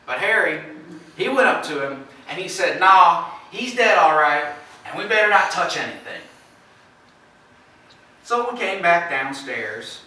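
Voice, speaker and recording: A plain-spoken country farmer acted by a man gives a folksy, halting account on an amateur stage. His voice is bright and swings widely in pitch. It sounds distant and very echoey. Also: very animated, heavily acted. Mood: shaken, animated. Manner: folksy, halting storytelling with dramatized quotes. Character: plain-spoken neighboring farmer recounting a shocking visit. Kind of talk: amateur stage play acting. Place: theatre stage, indoors. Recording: echoey stage from far off, faint noise.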